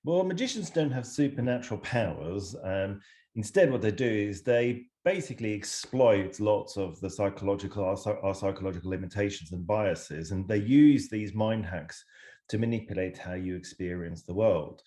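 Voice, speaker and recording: Low-voiced man explaining thoughtfully. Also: moderate pace, warm tone. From Switzerland. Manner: thoughtful, explanatory, with hedging fillers. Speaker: psychology researcher and guest. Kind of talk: casual interview over video call. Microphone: video call.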